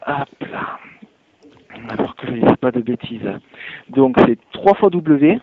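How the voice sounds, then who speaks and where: A man's voice: mid-range voice, animated, measured pace. A man working as a hotel night receptionist, patiently and helpfully explaining things step by step over the telephone. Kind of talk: phone customer service, spontaneous conversation. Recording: tinny narrowband phone line.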